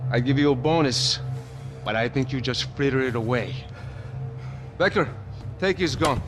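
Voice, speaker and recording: An actor playing a smug, oily, corrupt politician in a video game, speaking with condescending mock politeness. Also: male voice, medium pitch, animated, moderate pace, lightly acted. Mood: gloating. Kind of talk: video game cutscene acting. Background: music.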